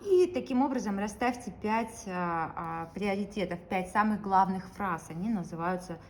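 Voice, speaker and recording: A woman's voice: medium pitch, animated, moderate pace, darkish tone, slight background noise. A woman hosting her own channel who leads viewers through a goal-setting practice, speaking to them directly with frequent hesitant fillers. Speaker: YouTube channel host.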